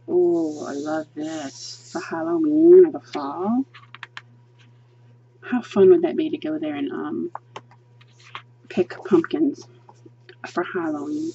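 A chatty woman pages through a coloring book at her table and gushes over each picture, thinking aloud with frequent ums. Her voice sits a little low and mellow. The home recording is dull and lo-fi, with a faint hiss behind her. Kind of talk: casual book flip-through review. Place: indoors at a table, hands seen flipping pages.

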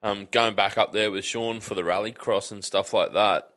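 An Australian man as a podcast guest, talking in a relaxed, rambling way full of fillers. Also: on the low side, normal speed. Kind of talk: casual chat.

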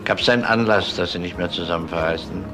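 An actor playing a methodical police inspector in a crime drama, questioning in a deliberate, polite manner. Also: male voice, deep voice, over music. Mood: polite, probing. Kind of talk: German TV crime drama acting.

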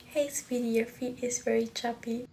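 A woman's voice: a little high, level tone, moderate pace, vocal fry, warm tone, slight background noise, poor audio. A young woman from California hosting a live stream from a phone in a small room, greeting viewers by name and thanking them with frequent giggles. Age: young adult. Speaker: livestream host. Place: small indoor room, in front of a curtain. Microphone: phone camera at close range.

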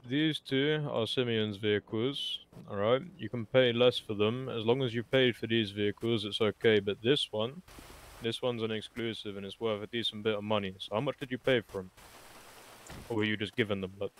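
A man's voice: on the low side, steady tone, normal speed, rough recording. A man talking casually over in-game voice chat as a roleplay server staff player. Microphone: online game voice chat.

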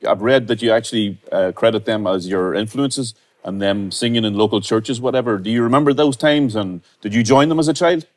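Fast-talking middle-aged male host. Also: fairly low pitch. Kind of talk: studio interview. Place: studio with starry backdrop.

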